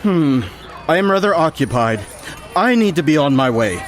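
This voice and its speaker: Pompous, lively-pitched carnival snob. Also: male voice, normal speed. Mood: haughty, disdainful. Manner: pompous, haughty, dismissive. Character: vain, snobbish carnival reveler and wine connoisseur. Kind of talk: radio play dialogue.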